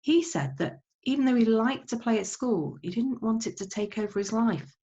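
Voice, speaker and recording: A woman teacher giving an expressive audiobook-style reading of a children's novel. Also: on the high side, normal speed, lo-fi sound.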